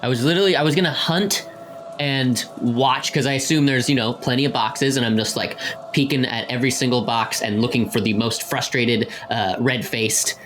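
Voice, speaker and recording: A man's voice: mid-range voice, a bit bright, a little hiss or hum. A man casually describing his character's moves as a player in an improvised tabletop game. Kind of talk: casual improvised roleplay chat. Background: faint music.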